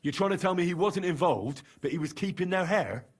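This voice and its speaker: A young investigator in a medieval video game. His voice is rough-edged and a little high for a man. He presses with stern, direct, exasperated questions. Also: animated, a little fast, exaggerated voice. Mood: incredulous. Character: earnest young male investigator, stern and probing. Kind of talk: dramatic video game acting.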